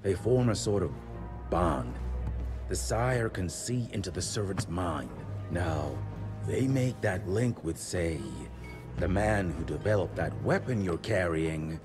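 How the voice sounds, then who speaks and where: A man playing a grave, steady frontier monster hunter in a video game, speaking in a measured, plain-spoken, folksy way. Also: deep voice, over music. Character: grave, gritty frontier monster-hunting veteran, steady mentor.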